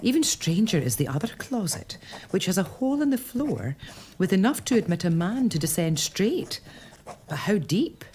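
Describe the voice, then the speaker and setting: A woman's voice: medium pitch, big pitch swings, normal speed, darkish tone, slight background noise, close to an everyday voice. An actress playing a curious, determined lady of a castle in a period audio drama, probing and earnest. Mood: reflective.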